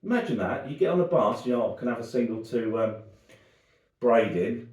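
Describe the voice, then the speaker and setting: A man's voice: mid-range voice, expressive, fairly quick, darkish tone, distant mic. A man chatting casually as a podcast co-host, rambling and cracking puns. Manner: rambling, jokey, punning. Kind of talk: casual chat. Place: dining room. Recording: echoey room, off-mic.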